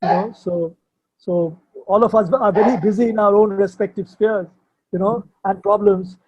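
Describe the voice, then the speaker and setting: A man's voice: sits high, fairly even intonation, normal speed, highs cut off, noisy background. An older man, explaining things informally and hesitantly, often repeating himself as he searches for words. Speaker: poet and sculptor, guest at a book launch. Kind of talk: informal explanation at an online book launch.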